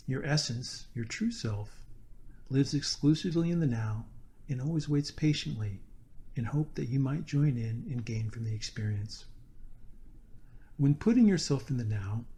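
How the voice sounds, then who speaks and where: A man guides a meditation from a prepared script. His voice is mellow and relaxed, sitting at a medium pitch. He speaks deliberately and earnestly, phrase by phrase.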